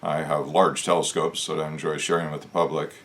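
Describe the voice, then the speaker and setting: A man with a hoarse voice, talking in a casual, reflective way as a show host, pausing often with "uh" as he thinks aloud. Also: rumbling low, flat delivery, a bit bright. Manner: musing, unhurried, with frequent "uh" pauses. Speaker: channel host discussing Masonic lore.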